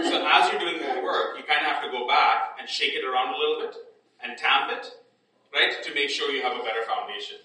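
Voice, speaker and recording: Bright-toned man teaching in an echoey room. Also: mid-range voice, slight background noise. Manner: conversational, frequent "right?" checks and restarts. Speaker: Bible study leader. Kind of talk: casual teaching, then spoken prayer. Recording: echoey room, distant mic, faint ambience.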